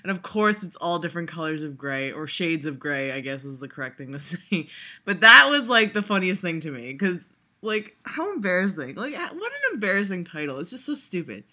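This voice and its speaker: A woman's voice: on the low side, very animated, fairly quick, sharp tone. A young woman vlogger giving a sarcastic, profane reaction, rambling with lots of laughs and fillers. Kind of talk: casual reaction commentary.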